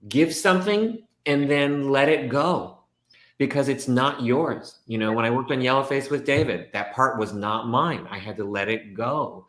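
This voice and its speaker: A man, a stage actor and guest, talking through his work in a casual, animated way. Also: medium pitch, normal speed. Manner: animated storytelling, reenacts his own thoughts. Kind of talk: casual conversation. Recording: echoey room.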